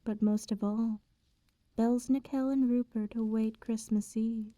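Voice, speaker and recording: Slow, hushed, velvety vampire lady, acted by a woman. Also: on the high side, steady tone, a little hiss or hum, lightly acted, rough recording. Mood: hushed storytelling. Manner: slow, hushed, languid, veiled threats delivered sweetly. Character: aristocratic female vampire, possessive, teasingly menacing, condescendingly tender. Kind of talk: ASMR roleplay, soft-spoken.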